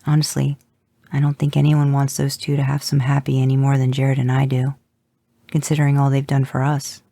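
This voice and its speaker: Chill friendly actor guy, dark husky tone, lady reading him. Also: rumbling low, monotone, fairly quick, no room sound, clean studio sound, exaggerated voice. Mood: fond.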